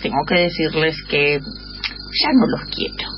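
A woman's voice: deep voice, huge pitch swings, measured pace, loud music behind the voice, full character voice. A woman voicing an imperious, capricious, aggressive ant queen in improvised comic radio theatre, with a Rioplatense Argentine accent. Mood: demanding. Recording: dull lo-fi audio with clear noise.

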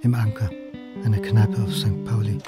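A man's voice: fairly low pitch, little pitch movement, moderate pace, close to an everyday voice. An actor playing a prickly, insistent male historian turned amateur sleuth in a radio crime play, with a pointed, questioning manner. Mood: brooding narration. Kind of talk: German radio play dialogue and first-person narration. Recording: music behind the voice.

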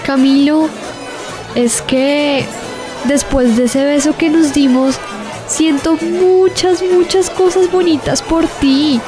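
A woman's voice: high voice, steady tone, slow pace. A woman playing a lovestruck, dramatic teenage schoolgirl in a radio drama, with a Colombian accent and an earnest, emotive delivery. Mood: shy, lovestruck. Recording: music under the voice.

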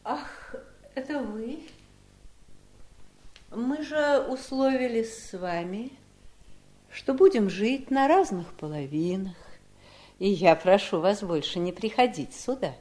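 An actress playing a cold, haughty, domineering wife in a radio play. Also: female voice, mid-range voice, expressive, takes it slowly, long pauses, dark tone. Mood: icy, displeased. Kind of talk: radio play acting in Russian.

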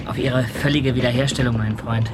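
Hearty seafarer over loud noise played by a man. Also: mid-range voice, steady tone, measured pace, close to an everyday voice. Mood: hearty, friendly. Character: hearty, hospitable seafarer bound for northern seas. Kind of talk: radio drama acting. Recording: loud storm-like background noise.

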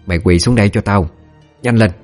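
A man's voice: lowish voice, a little fast, darkish tone, faint music behind, lightly acted. A man voicing a cruel middle-aged mistress of a rich household, berating in a Southern Vietnamese accent in an audio story. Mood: furious. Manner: berating, snarling word by word.

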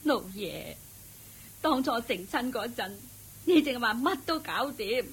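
An actress playing an anxious, helpless wife in a Cantonese radio drama, with a husky, tearful, drawn-out Hong Kong Cantonese delivery. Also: female voice, a little high, expressive, exaggerated voice, rough recording. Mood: worried, plaintive. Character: anxious, helpless wife of a rich old master.

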